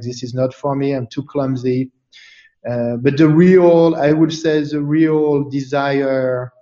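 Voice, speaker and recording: A man from France, speaking as an interview guest in a thoughtful, halting way with many fillers and restarts. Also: medium pitch, mellow, reverberant, highs cut off. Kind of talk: casual interview chat.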